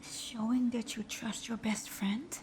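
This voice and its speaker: A woman playing a supervillain thief whose teasing bravado hides a timid, wounded heart, in a breathy, often whispered, intimate ASMR roleplay style. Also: a little high, little pitch movement, takes it slowly, darkish tone, a little hiss or hum. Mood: guarded, flustered. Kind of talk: ASMR roleplay audio drama.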